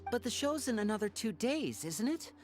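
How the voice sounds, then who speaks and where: A woman playing a composed, courteous young stage magician with measured, polite speech in an anime-style game. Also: on the high side, big pitch swings. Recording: clear music behind the voice. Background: music.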